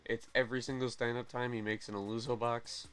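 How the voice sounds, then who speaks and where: A young man hosting a gaming channel, chatting casually and excitedly through game news, often correcting himself mid-sentence. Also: medium pitch, a little fast, clear, resonant tone, soft background music. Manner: rambling, self-correcting, excitable.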